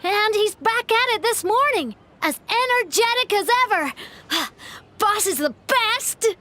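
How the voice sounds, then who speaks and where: A woman voicing a devoted young underling in a video game, with an adoring, drawn-out way of talking. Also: very high-pitched, expressive, sharp tone, heavily acted. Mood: admiring. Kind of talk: animated video game voice acting.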